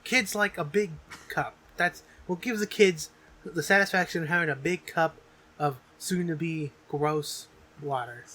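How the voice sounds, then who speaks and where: A man with a fairly high, very sharp and bright voice. He speaks as a podcast co-host in casual comedic banter. He is jokey and insistent, with plenty of swearing. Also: measured pace. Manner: profane, jokey, insistent riffing.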